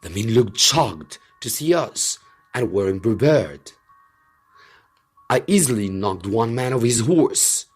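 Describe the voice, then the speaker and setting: A man reading a scripted story aloud as a teacher, with dramatic, deliberate phrasing. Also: on the low side, darkish tone, breathy edge.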